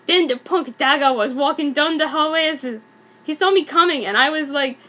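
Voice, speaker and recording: A young woman with a high-pitched voice reads a fan story aloud. She delivers it as a mock-earnest dramatic reading played for comedy, keeping the clumsy wording as written. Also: moderate pace, a bit bright. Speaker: fan fiction reader.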